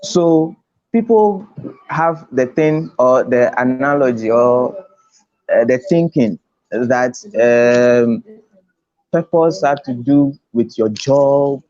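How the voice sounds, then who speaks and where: Casting: Ghanaian man, mellow voice and slow delivery, emphatic motivational conference speaker who repeats himself for weight. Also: medium pitch. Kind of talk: motivational talk on a video conference. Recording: dull lo-fi online call audio.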